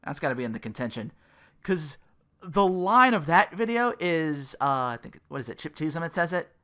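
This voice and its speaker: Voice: medium pitch, big pitch swings, moderate pace, a bit bright. A podcast host rambling casually in a sardonic, profane manner, often acting out quotes as part of the commentary. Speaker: podcast host and content creator.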